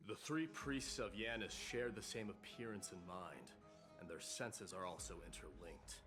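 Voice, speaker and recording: Low-voiced proud warrior prince acted by a man. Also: moderate pace, lightly acted. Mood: cold, suspicious. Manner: haughty, blunt, formal. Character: proud, gruff warrior prince, heir of a warlike kingdom. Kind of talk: dramatic video game voice acting. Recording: loud music underneath. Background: game music.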